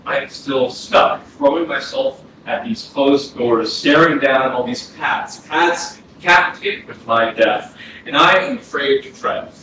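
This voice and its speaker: A man's voice: medium pitch, slow pace, lightly acted. A male actor playing a dead young man, bitter and yearning to live, in a blunt, impassioned staged play reading. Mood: haunted despair. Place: arts center theatre, staged reading. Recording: distant, very echoey hall, noisy air conditioning.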